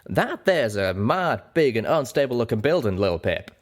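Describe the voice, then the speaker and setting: A man's voice: medium pitch, very animated, normal speed, voice pushed up. Brash pegasus scavenger for fantasy audiobook, played by a man: folksy country drawl, swinging intonation. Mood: wary, practical.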